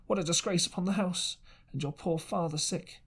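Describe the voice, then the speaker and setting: A man's voice: sits high, fairly even intonation, moderate pace, fairly bright tone, slightly rough, pitched above the performer's own voice, heavily acted. A man voicing a fretful, alarmed mother in a storybook reading, lamenting anxiously. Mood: distressed.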